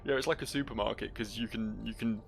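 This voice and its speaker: A man's voice: on the low side, fairly even intonation, fast talker, creaky, faint music behind. A man giving casual let's-play commentary and reading game journals aloud in a dry, joking, profane way. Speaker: video game player and host.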